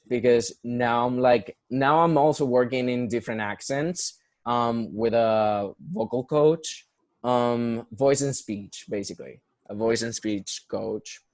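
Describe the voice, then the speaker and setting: A young man speaks with a Puerto Rican accent, earnest and conversational, circling back with restarts and fillers. His voice sits in an ordinary middle pitch. The sound is dry, with hardly any room behind it. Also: no echo. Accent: Puerto Rican Spanish. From Puerto Rico. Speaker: guest, musical theater performer. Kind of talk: casual conversational interview.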